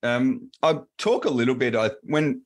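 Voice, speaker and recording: A man's voice: medium pitch, animated, normal speed. A man with an Australian accent explaining technology as an interview guest, loose and full of fillers and restarts. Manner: rambling, many fillers and restarts. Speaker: presales solution architect, guest. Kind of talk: casual interview answers.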